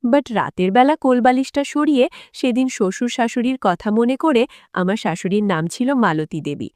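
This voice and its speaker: A woman tells a dramatic story in the first person. Her voice sits fairly high, and the recording is dry with almost no room sound. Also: expressive, normal speed, a little mellow, dry recording. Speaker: story narrator.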